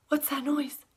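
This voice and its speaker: A woman voicing an earnest, excitable young boy who owns a goldfish, in an expressive storybook reading. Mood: startled. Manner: expressive, childlike storybook telling.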